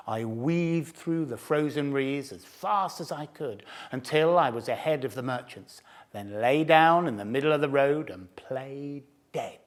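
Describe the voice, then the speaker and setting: Sly boastful fox, man reading, storybook teller; slow, lively melody. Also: on the high side. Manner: relishing, theatrical, savouring food words. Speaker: storyteller to cubs. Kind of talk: storybook narration.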